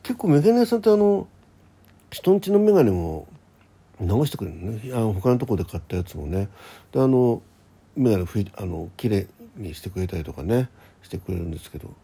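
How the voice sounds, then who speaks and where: A man's voice: on the low side, big pitch swings, brisk, warm tone, breathy edge. A man hosting a casual solo radio talk in a rambling, conversational manner. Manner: rambling, conversational, acts out quoted exchanges.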